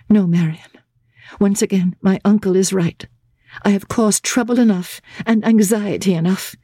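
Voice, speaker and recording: A woman voicing a sad young gentlewoman, earnest and inflexibly resigned, in a dramatic reading of a classic novel. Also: medium pitch, slightly rough, no echo, clean studio sound, pitched above the performer's own voice, lightly acted.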